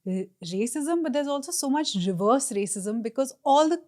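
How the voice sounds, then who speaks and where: A young woman from India, co-hosting a podcast and telling travel stories in a lively, chatty way. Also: sits high, moderate pace. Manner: animated storytelling with frequent laughs and quoted voices. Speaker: podcast co-host. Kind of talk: casual chat.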